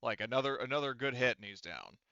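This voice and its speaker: A man narrating and calling rules as game master of a tabletop roleplaying game, in a casual, halting way with trailing thoughts. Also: mid-range voice, expressive, creaky, sharp tone, no echo, dull audio.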